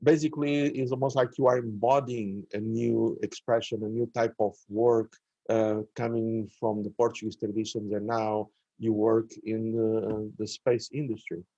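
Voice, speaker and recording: Male host, slow and careful with lots of uhs, dead dry sound. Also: fairly low pitch, flat delivery, dark tone, no echo, lo-fi sound. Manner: slow and deliberate, many "uh" pauses. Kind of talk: interview host.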